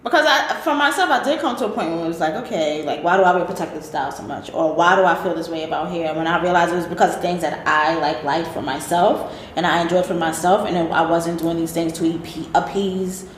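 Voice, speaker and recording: A woman's voice: on the low side, a little fast, hardly any pauses, slight background noise. A woman speaking as a panel guest in a candid group discussion, casual and reflective, often chiming in to affirm others. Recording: roomy echo.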